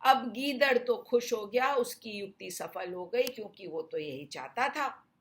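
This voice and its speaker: A woman's voice: medium pitch, expressive, measured pace, a little hiss or hum. A woman narrating a scripted children's story in an explanatory way meant for young listeners.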